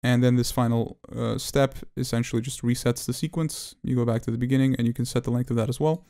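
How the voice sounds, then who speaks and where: Man with dark, mellow, even voice. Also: medium pitch, fast. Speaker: plugin developer presenting a tutorial. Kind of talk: unscripted software walkthrough.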